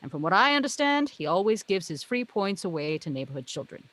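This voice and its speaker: A woman's voice: mid-range voice, sing-song, brisk, a bit bright. A woman reading the part of a wry, knowing, articulate heavenly muse in a film script read-through. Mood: wry explaining.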